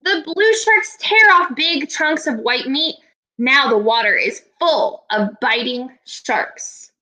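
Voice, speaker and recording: A woman in her twenties, a teacher giving a read-aloud lesson to young children in a deliberate, instructive way. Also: high voice, expressive, bright, forceful tone, rough recording. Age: 20s. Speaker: elementary school teacher. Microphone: laptop webcam at arm's length.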